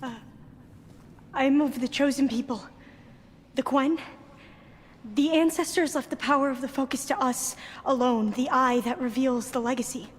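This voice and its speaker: An actress playing a formal, wary female diviner of a proud tribe in a video game, solemn and hesitant, with archaic phrasing and a fairly high voice. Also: fairly even intonation, normal speed, long pauses. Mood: reverent, hesitant. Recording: echoing hall, faint background ambience.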